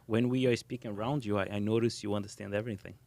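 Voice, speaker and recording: A man, a podcast host from Brazil whose first language is Portuguese, asking friendly, halting questions. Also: lowish voice, steady tone, darkish tone, no room sound, clean studio sound. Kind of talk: casual interview.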